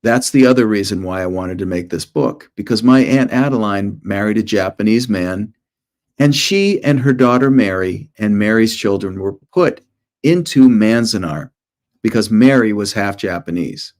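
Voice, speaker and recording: A man's voice: fairly low pitch, normal speed, darkish tone. A middle-aged man, an author, presenting informally to schoolchildren in short storytelling phrases with conversational asides.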